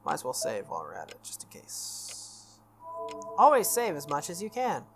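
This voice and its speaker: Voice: medium pitch, wildly swooping pitch, normal speed, noisy background. A video game playthrough host reading visual novel text aloud with casual reactions, stumbling over and repeating words. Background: game music.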